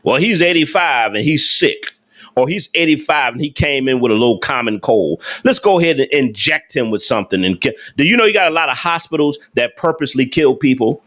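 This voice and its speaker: A man's voice: mid-range voice, animated, brisk, fairly bright tone. A man hosting a casual livestream, talking emphatically to his viewers with slangy speech and repeated rhetorical questions. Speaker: livestream host.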